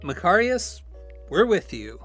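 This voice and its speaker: Nervy young male gamer, played by a man, cautious friend, animated pitch, naturalistic audio drama, music underneath. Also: slow pace, heavily acted. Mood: casual.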